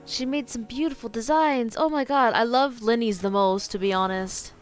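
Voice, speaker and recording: A woman's voice: on the high side, moderate pace, soft background music. A woman giving casual reaction commentary as she streams a game.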